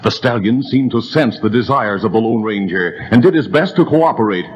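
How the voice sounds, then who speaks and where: A man's voice: lowish voice, animated, moderate pace, dull audio, a little hiss or hum. A man narrating a 1930s radio adventure drama with a vivid, building delivery.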